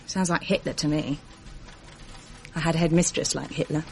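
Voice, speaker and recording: A woman's voice: low voice, fairly even intonation, normal speed, gravelly. A woman playing a breezy, blunt, opinionated young Englishwoman in a radio drama, with noise behind her. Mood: cheeky, blunt. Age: young adult. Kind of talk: 1980s British radio drama acting.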